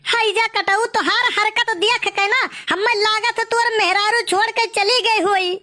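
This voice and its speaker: A woman's voice: extremely high pitch, steady tone, measured pace, sharp tone, full character voice. A woman playing a scornful young village housewife in a cartoon comedy, scolding sarcastically with an Awadhi accent.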